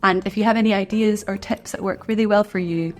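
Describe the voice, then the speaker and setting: A woman's voice: medium pitch, fairly even intonation, fast talker, faint music behind. Encouraging woman artist, slightly stuffed up. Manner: warm, encouraging, chatty. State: a bit stuffed up from a cold. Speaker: artist and video creator. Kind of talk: casual talk to camera.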